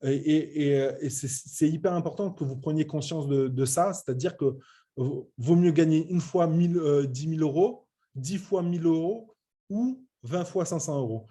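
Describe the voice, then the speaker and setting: A man's voice: a little high, moderate pace, warm tone. A man in his late thirties giving an informal online business presentation, emphatic but often hesitating and repeating his words. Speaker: web entrepreneur presenting a training programme.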